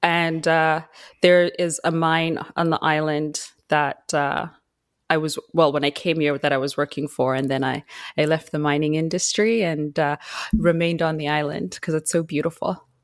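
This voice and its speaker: A woman's voice: low-pitched, flat delivery, moderate pace. A woman from Zimbabwe, a guest on a podcast, chatting casually, laughing easily and sounding a little tired. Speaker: podcast guest, mining engineer. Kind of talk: casual interview chat.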